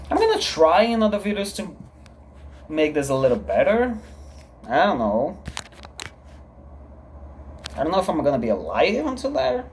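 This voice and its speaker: A man in his thirties from Brazil, a native Portuguese speaker with a Brazilian accent, talking to camera in a confessional solo vlog, halting and heavy, often repeating himself. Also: high voice, sing-song, slight background noise. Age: 30s. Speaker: channel creator. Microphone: camera recording himself.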